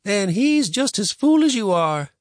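A man's voice: high-pitched, very animated, measured pace, dry recording, clean studio sound, voice pushed up. A man voicing a cranky, stubborn old frontier grandmother who grumbles and scolds in folksy rural speech for a storybook. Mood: scolding. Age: older.

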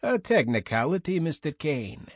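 A man's voice: fairly high pitch, big pitch swings, moderate pace, breathy edge, no echo, clean studio sound, pitched above the performer's own voice, full character voice. A man voicing a smug middle-aged Scottish mercenary commander in an audiobook, with a mocking, falsely polite manner. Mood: mocking, dismissive.

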